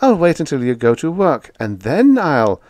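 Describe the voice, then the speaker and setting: A man voicing a fussy, nosy older landlady who speaks in a high, prim, prying voice. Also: huge pitch swings, normal speed, darkish tone, dry recording.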